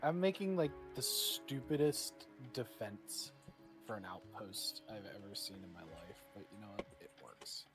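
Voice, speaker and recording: A man's voice: fairly low pitch, unhurried, over music, poor audio. A laid-back man chatting casually and swearing now and then while playing a game on a stream. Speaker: streaming co-player. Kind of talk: casual gaming stream chat.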